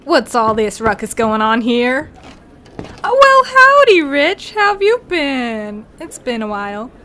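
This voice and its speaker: A woman's voice: high voice, sing-song, measured pace, bright, forceful tone, a little hiss or hum, full character voice. A woman playing a genial, long-winded, folksy male cowboy posse leader in a western audio drama. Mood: friendly, folksy. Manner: rambling, folksy, theatrical storytelling.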